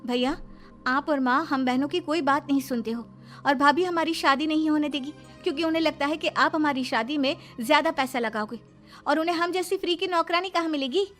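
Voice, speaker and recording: Woman voicing a high-pitched, wronged young sister. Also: a little fast, fairly bright tone, faint music behind. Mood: defiant. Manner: pleading, protesting.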